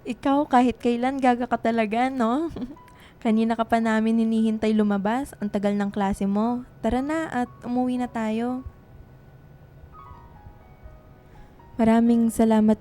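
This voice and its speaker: Woman acting earnest, soft-toned young writer Lila. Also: a little high, level tone, normal speed, soft background music, close to an everyday voice. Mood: fond, musing. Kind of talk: radio drama acting.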